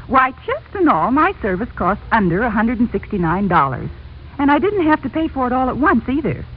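A gushing chatty housewife in an old radio ad, very animated, phone-like hissy recording. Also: female voice, on the high side, a little fast. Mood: chatty, delighted. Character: chatty, delighted housewife in a silverware advertisement. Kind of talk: 1940s radio advertising dialogue. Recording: tinny, noisy 1940s radio recording.

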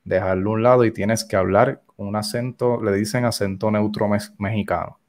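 Low-voiced Puerto Rican actor, hesitant. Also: male voice, steady tone, moderate pace, darkish tone. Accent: Puerto Rican Spanish. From Puerto Rico. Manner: hesitant, many fillers. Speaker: actor guest. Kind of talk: casual chat.

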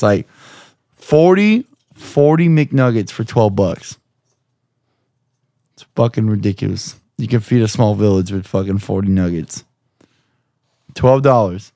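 A young man hosting a podcast, hoarse from screaming, talking in a rambling, profane, jokey way. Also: lowish voice, mellow, dry recording, studio quality. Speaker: podcast host. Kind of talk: casual chat.

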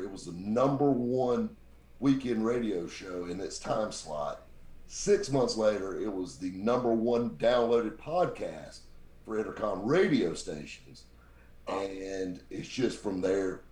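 A man, telling stories as a guest in a casual chat. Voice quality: very deep voice. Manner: animated, mimics voices in his stories. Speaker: podcast guest, radio show host. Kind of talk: casual storytelling. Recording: echoey room.

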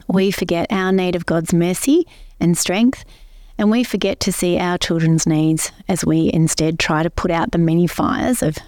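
A woman co-hosting a faith podcast, explaining points at length in a steady way. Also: on the low side, dry recording, clean studio sound. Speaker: podcast co-host, pastor. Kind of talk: casual faith podcast chat.

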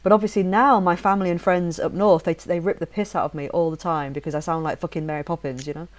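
A woman's voice: lowish voice, fairly even intonation, quick pace. A woman in her forties from Leeds, with a faint, mostly neutral northern English accent, chatting animatedly as host and often restarting her sentences. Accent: soft northern English, mostly neutral. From Leeds, England. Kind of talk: casual chat.

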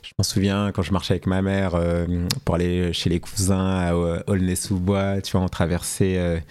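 Laid-back man with a lower voice. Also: moderate pace, clear, resonant tone. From France. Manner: relaxed, hesitant with slang. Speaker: guest, fashion entrepreneur. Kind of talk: casual interview talk.

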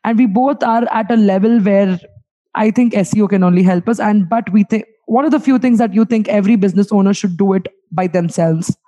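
A woman's voice: mid-range voice, fairly quick, dark tone. A woman hosting an interview over a video call, a small business owner who chats freely, laughs often and repeats words. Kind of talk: casual interview talk.

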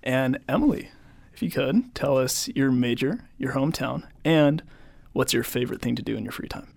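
A man's voice: mid-range voice, expressive, normal speed, creaky, darkish tone, no room sound. A young man hosting a casual podcast, upbeat and enthusiastic as he questions his guests. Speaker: podcast host.

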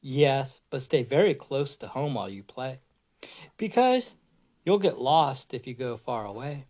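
A woman reading the lines of a caring mother deer in a storybook, gentle and cautioning. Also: extremely low pitch, lively intonation, a little slow, exaggerated voice.

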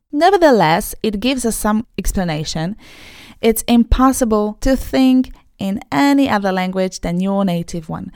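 A woman's voice: mid-range voice, darkish tone, clean tone, dry recording, clean studio sound. A woman from Poland with a Polish accent, hosting an English-learning podcast in an upbeat, emphatic teaching manner. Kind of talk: podcast lesson, talking to learners.

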